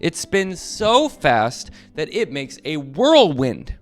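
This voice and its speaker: Male storybook narrator, expressive, slightly high voice, animated pitch, dramatic emphasis, faint music behind. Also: slow pace. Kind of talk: animated children's read-aloud narration.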